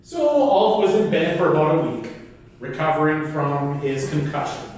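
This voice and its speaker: A man telling a folk tale as a live speech, with deliberate, theatrical pauses and emphasis. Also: a little high, expressive, darkish tone. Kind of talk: animated live storytelling speech. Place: indoor club meeting room. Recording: very echoey room, distant mic, faint noise.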